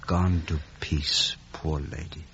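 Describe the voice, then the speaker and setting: An actor playing a gentle, loyal, simple old blacksmith with a soothing, folksy manner in a 1950s radio drama. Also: male voice, a bass voice, steady tone, slow pace, creaky, full character voice. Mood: sorrowful. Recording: noisy, muffled vintage radio recording.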